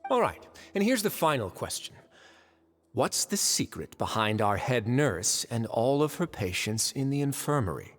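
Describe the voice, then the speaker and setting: An actor voicing a suave, unflappable, drily teasing duke in a video game. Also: male voice, mid-range voice, sing-song, moderate pace, in a large hall, soft background music, natural voice. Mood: cool, taunting. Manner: dry, wry, composed.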